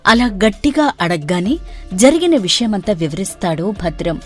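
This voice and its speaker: A woman's voice: on the low side, sing-song, moderate pace, soft background music. A woman reading scripted narration for a moral story as its narrator.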